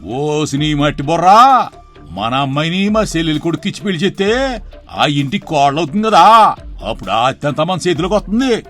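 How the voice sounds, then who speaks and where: A man playing a greedy, smooth-talking middle-aged villager who schemes, speaking colloquial rural Telugu in a moral story. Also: fairly high pitch, sing-song, brisk, a bit bright, soft background music. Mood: gleeful scheming. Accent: Telugu rural dialect.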